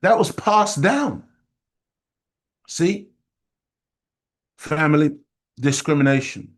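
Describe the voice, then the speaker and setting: A middle-aged man from Jamaica giving an impassioned community teaching talk, emphatic and preacher-like, repeating phrases for weight. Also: mid-range voice. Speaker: study group speaker.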